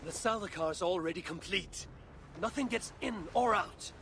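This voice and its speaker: Impatient Norse god warrior, gravelly, formal and demanding, game cutscene, clear background noise. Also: male voice, high voice, moderate pace, a bit bright. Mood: commanding. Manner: terse, demanding, formal old-fashioned phrasing. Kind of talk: dramatic video game acting.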